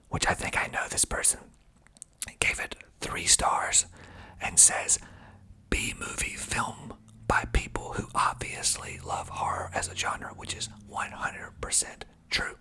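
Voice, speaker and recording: A man whispering breathily in ASMR style into a close mic, reading aloud slowly and deliberately with dry asides. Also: pushed and bright. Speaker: filmmaker and ASMR video host. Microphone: close mic with pop filter.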